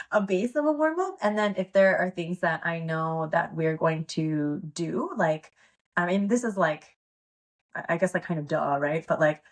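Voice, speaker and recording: A woman's voice: lowish voice, measured pace. A woman pole dance instructor, a guest on a podcast, talking casually in a rambling way with many fillers and laughs. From United States. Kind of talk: casual interview chat.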